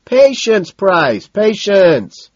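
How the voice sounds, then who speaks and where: A man voicing a cocky, glad-handing male socialite in an audiobook, with loud, breezy shouts. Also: high-pitched, sing-song, very slow, dry recording, highs cut off, voice pushed up, full character voice. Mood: breezy shouting.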